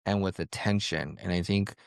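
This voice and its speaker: Low-voiced man hosting a podcast. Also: fairly even intonation, moderate pace, vocal fry, clear, resonant tone, no echo, clean studio sound. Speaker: podcast host. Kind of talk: podcast interview talk.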